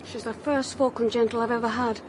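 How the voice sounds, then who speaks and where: A woman's voice: fairly high pitch, steady tone, a little fast, noisy background, lightly acted. A woman voicing an eager young schoolboy who keeps hawks, talking earnestly in a radio play. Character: eager young schoolboy son, keen falconer. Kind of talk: radio drama acting.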